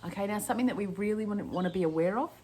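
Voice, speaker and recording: A woman stress coach leading a breathing lesson in a gentle, chatty way, her voice croaky from a cold. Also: mid-range voice, slight background noise. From Australia. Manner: gentle, chatty, slows down to calm. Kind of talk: guided breathing lesson to camera.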